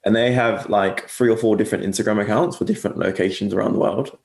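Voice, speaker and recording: A young man whose accent drifts between English and Australian, talking in a low, gravelly voice. He rambles and corrects himself often, with plenty of fillers, in a casual interview chat. The sound is echoey, like a room on a video call. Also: steady tone, fast. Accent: mixed English and Australian. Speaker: guest agency owner.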